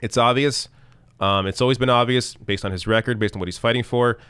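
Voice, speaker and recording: A man hosting a live political commentary stream, arguing bluntly and reading tweets aloud, with a voice that is going hoarse. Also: mid-range voice, steady tone, brisk, fairly bright tone, dry recording. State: losing his voice.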